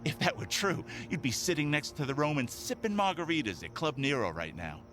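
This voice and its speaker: A man's voice: a little high, animated, a little fast, over music, natural voice. A man playing a smug, needling crook on the take in an audio drama, with a cocky, taunting manner. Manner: taunting, mock-wounded, cocky.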